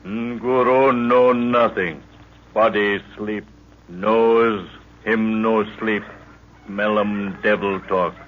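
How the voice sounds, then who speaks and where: An actor playing a huge, terse Maasai prince and tracker in an old radio adventure, speaking clipped broken English with Swahili phrases. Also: male voice, fairly low pitch, fairly even intonation, extremely slow, slight background noise. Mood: grave, terse. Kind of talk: 1940s radio adventure acting. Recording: muffled old radio recording.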